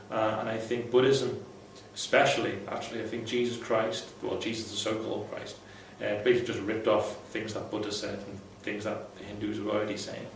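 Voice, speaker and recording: Man, medium pitch, casual vlog advice, blunt and irreverent, swearing freely, heavy room echo, faint noise. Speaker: vlogger, ex-Jehovah's Witness advice host. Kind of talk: casual unscripted advice talk to viewers. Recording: very echoey room, distant mic, faint noise.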